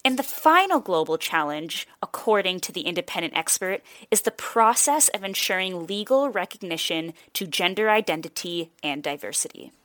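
A woman's voice: on the low side, lively intonation, moderate pace, hardly any pauses, a bit bright, dry recording, studio quality. Young woman narrating a news report. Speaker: reporter and host. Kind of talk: scripted narration.

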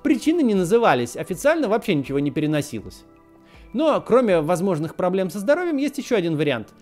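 An animated man in his thirties delivers scripted political commentary with a lively, swinging pitch. His tone turns ironic and sardonic in the asides, and faint music plays underneath. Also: fast talker. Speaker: political video host.

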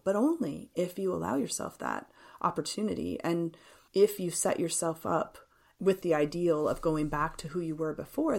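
A woman's voice: lowish voice, vocal fry, darkish tone. A woman in her fifties speaking reflectively and earnestly as an author being interviewed, explaining her ideas. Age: 50s.

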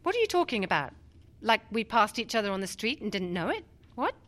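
A woman's voice: mid-range voice, big pitch swings, a little fast, a bit bright. A woman acting in a radio drama as a grieving, skeptical doctor, defensive and argumentative. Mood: clipped, wary. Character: grieving skeptical female doctor, former army chaplain, defensive. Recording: music behind.

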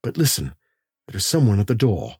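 A man voicing a calm, assured detective doctor who speaks reassuringly. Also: lowish voice, brisk, breathy edge, dry recording, clean studio sound, full character voice.

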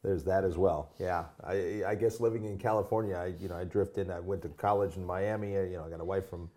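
A man's voice: low voice, fairly even intonation, quick pace, warm tone. An American man from the Midwest speaking as the host of an interview podcast. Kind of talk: casual interview chat, with a read-out intro.

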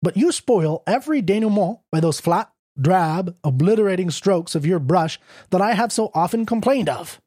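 A reader voicing a tactful middle-aged male magazine editor who critiques a writer in a measured, diplomatic way. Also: medium pitch, animated, slightly rough, no echo, studio quality, voice pushed up. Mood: gently critical. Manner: measured, diplomatic, softly lecturing. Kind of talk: audiobook character reading.

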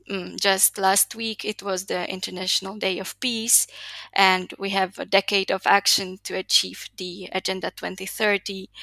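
A woman's voice: medium pitch, vocal fry, pushed and bright, no echo. A woman, giving earnest, articulate answers as an interview guest. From Slovakia. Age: 20s. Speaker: podcast guest, youth leader.

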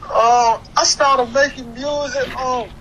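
A man's voice: very high voice, fairly even intonation, takes it slowly, sharp tone. A young man from Haiti and Florida chatting casually as an interview guest, slangy and repeating his phrases. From Haiti, moved to the US at eleven; Florida. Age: young adult. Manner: slangy, repeats phrases, often says "you know what I'm saying". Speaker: interview guest, hip-hop artist. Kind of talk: casual chat. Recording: clearly noisy background ambience.

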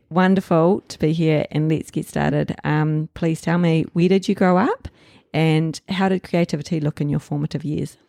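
A New woman interviewing a friend, warm and hesitant with frequent ums. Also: low-pitched, dry recording, clean studio sound. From New Zealand. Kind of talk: casual interview.

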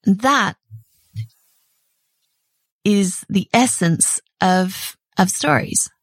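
A middle-aged woman hosting a solo podcast, talking in an animated, chatty way with a loud voice and frequent laughs. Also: medium pitch, very slow, no echo. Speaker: podcast host.

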